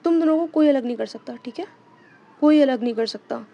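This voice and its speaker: A woman's voice: sits high, brisk, creaky, muffled recording. Woman voicing a protective best friend. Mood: reassuring. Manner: warm and urgent, reassuring.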